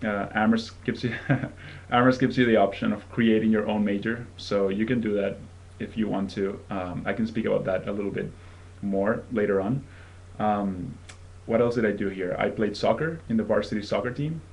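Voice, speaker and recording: A man's voice: on the low side, fairly even intonation, normal speed, vocal fry, muffled recording. A young man from Venezuela in his twenties, an admission fellow giving a friendly, conversational presentation to prospective students with frequent ums. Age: 20s.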